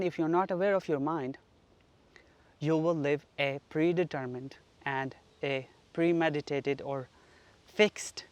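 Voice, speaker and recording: A man from Pakistan giving an earnest, reflective spoken teaching at home, his sentences often trailing off softly. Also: on the high side, no echo. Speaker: spiritual teacher. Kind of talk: solo spoken teaching on manifestation. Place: flat.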